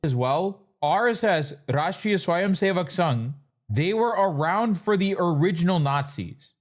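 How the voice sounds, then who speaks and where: A man's voice: high voice, expressive, unhurried. A man giving blunt, argumentative political commentary in a casual livestream style, sometimes reading text aloud. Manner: blunt, argumentative, reads texts aloud and interjects. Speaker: online commentator reacting to news.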